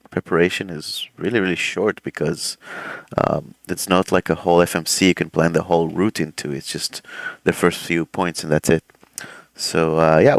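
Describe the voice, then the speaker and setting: A man's voice: deep voice, vocal fry, dry recording. A man streaming a flight simulator session, chatting casually with many fillers while running cockpit checks, his throat a bit swollen. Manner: relaxed, rambling, many fillers. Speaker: flight sim streamer, airline pilot.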